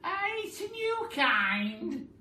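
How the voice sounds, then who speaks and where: A man voicing a nasty, excitable old hag of a wife in a storybook reading, with a shrill, screechy voice. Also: extremely slow, heavily acted. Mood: sly coaxing. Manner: hysterical, exclaiming. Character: dirty old hag, shrieking panicky spiteful wife. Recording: roomy, distant mic with noise.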